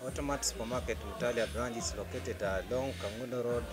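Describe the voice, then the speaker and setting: A middle-aged man with a Kenyan accent, a store manager giving promotional interview answers in short, halting phrases into a handheld mic. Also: mid-range voice. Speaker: supermarket branch manager, interviewee. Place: inside a supermarket. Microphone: handheld microphone. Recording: faint music behind the voice. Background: faint music.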